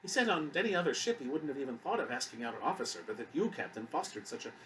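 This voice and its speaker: A man voicing a kindly elderly woman, a gentle and talkative grandmother in an audiobook story. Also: medium pitch, big pitch swings, very fast, distant mic, slight background noise, voice pushed up, rough recording. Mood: fond, chatty.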